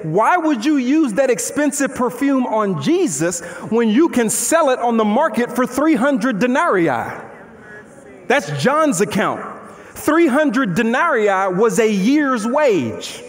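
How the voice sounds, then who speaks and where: High-voiced preacher in a hall. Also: male voice. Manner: animated, conversational preaching with rhetorical questions; paces the stage and gestures while preaching. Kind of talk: sermon. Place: large church auditorium stage. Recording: long hall reverb.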